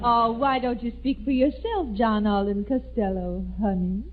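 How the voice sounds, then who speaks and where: An actress as high-pitched coy radio maiden. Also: female voice, moderate pace, very noisy, lightly acted. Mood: coy, flirtatious. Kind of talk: 1940s radio comedy acting. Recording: tinny, band-limited old radio recording.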